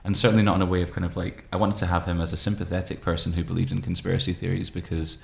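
Male interview guest, thirties, very low voice with vocal fry, tentative and reflective. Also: little pitch movement. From Scottish Highlands. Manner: hesitant, thoughtful, halting. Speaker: guest, poet and musician. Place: room with tall windows, indoors. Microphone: microphone on desk stand.

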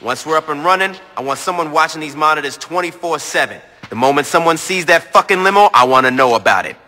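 A man's voice: fairly high pitch, animated, fast, sharp tone. An actor playing a brash, impatient male gang boss in a video game, blunt, sardonic and foul-mouthed. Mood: commanding. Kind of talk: video game cutscene acting.

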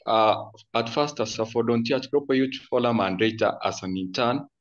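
A young man politely introducing himself on a video panel, plain and steady. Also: medium pitch, normal speed. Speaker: youth volunteer, panel guest. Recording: dull lo-fi call audio.

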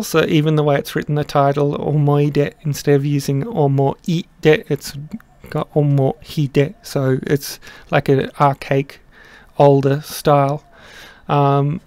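A middle-aged man explaining his song translation in a casual, halting way, with many pauses and restarts. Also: on the high side, no echo. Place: small room indoors.

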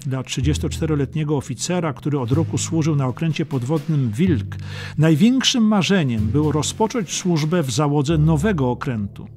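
A man reading a scripted historical audiobook as narrator, in a measured, dramatic storytelling manner. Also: on the high side, expressive, a little mellow. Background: music under the narration.

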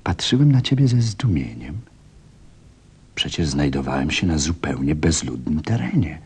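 An actor playing a reflective pilot who narrates a radio play in a wistful, dramatic storytelling manner. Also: male voice, very deep voice, big pitch swings, normal speed, a little mellow, breathy edge, heavily acted. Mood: amazed. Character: reflective pilot recalling his little friend.

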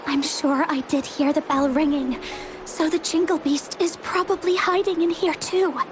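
Anxious young game child, woman actor, high pitch, earnest, pleading, determined, noisy background. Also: steady tone, moderate pace, close to an everyday voice. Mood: sure, eager. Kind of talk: scripted video game voice acting. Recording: clear background noise.

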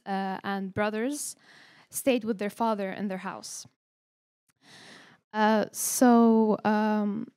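A woman's voice: a little high, a little mellow, smooth. A young woman from Lebanon in her twenties, telling her family's story at an event in an earnest way and reading from notes, speaking into a handheld microphone in a small hall. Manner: earnest, reading from paper with many ums and pauses. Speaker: guest speaker. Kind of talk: personal testimony read from notes. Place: small event hall before a seated audience.

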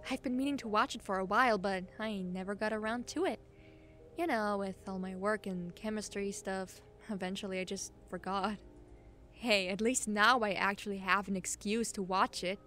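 Chatty, gently teasing young woman, acted. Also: mid-range voice, lightly acted. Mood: teasing, casual. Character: friendly, caring, teasing young woman hosting her crush. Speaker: voice actor in an audio roleplay. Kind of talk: intimate ASMR-style audio roleplay, casual one-sided chat.

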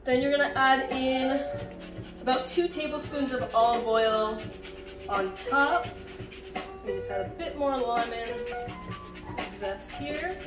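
Young female home-cooking host, high voice, informal instruction, recorded at a distance from the mic with music behind. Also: slow, pauses often. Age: young adult. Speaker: home cook hosting a cooking video. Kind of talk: casual cooking demonstration. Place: home kitchen, indoors. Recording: roomy echo, distant mic, background music.

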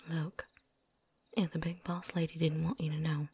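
A woman playing a seasoned, wary but friendly vampire hunter in a hushed, folksy ASMR roleplay whisper. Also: low-pitched, flat delivery, normal speed, slightly rough, full character voice. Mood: uneasy, guarded. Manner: hushed, folksy drawl, teasing.

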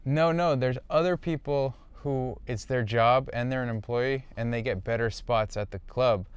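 Clear-voiced man, hesitant and defensive. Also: mid-range voice, moderate pace, dry recording. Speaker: comedian. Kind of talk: conversational sketch, explaining himself. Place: inside a small wood-lined camper van.

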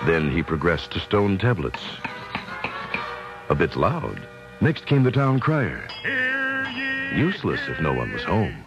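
Deep male mock-grand commercial narrator, deadpan, dramatic swings in pitch. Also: a little slow, pauses often, lo-fi sound, loud music behind the voice, heavily acted. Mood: mock-solemn. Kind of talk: scripted radio commercial narration, early 1980s.

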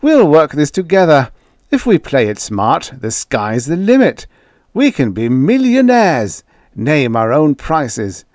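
A man's voice: on the high side, huge pitch swings, slow, darkish tone, dry recording, studio quality, pitched above the performer's own voice, full character voice. A man doing a pushy, greedy schemer. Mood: greedy pushing. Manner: pushy, wheedling. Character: greedy desperate young male schemer.

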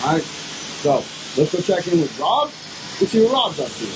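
A man's voice: fairly high pitch, very animated, moderate pace. An American man in his thirties chatting casually and upbeat as a vlog host in a large workshop, informal and talkative. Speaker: shop vlog host, IT worker. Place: large metal-roofed workshop. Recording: noisy workshop with clear background noise.

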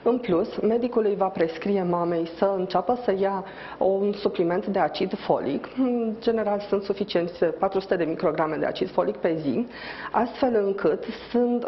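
A middle-aged woman obstetrician explaining things calmly as a guest on a TV interview, with measured speech and frequent hesitant fillers, on a lapel mic in a hospital lobby. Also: mid-range voice. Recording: dull, slightly noisy broadcast audio.

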